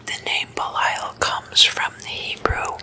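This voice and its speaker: A woman hosting ASMR, whispering a scripted explainer in a breathy, deliberate way with measured pauses between phrases. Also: bright, forceful tone. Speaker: ASMR host.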